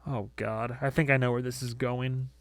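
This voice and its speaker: A man's voice: mid-range voice, flat delivery, moderate pace, vocal fry, dry recording, lightly acted. A man plays an outspoken young woman in an audiobook. The delivery is casual, blunt and wryly exasperated, in a dry recording. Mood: exasperated, sarcastic. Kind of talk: audiobook character acting.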